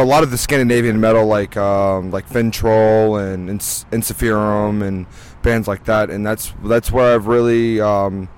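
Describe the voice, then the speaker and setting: A man from southern Georgia, a band member, talking haltingly with many fillers in an outdoor interview. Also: lowish voice. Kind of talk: casual interview chat. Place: outdoors in a parking lot.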